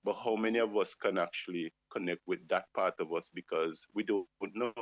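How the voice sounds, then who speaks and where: A man's voice: deep voice, brisk, dry recording. A man on a talk show, speaking with passion and firing off rhetorical questions. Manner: impassioned, asks rhetorical questions. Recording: dull lo-fi dry sound.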